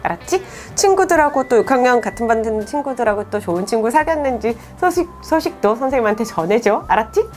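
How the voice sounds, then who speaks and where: A woman teacher in her thirties with a fairly high, very lively voice talks warmly and encouragingly to her students. She chats casually and laughs easily while she reads their letters. Faint music plays underneath. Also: fast talker, hardly any pauses. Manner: warm, chatty, encouraging, laughs often. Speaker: Korean language teacher. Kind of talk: advice segment, reading student letters.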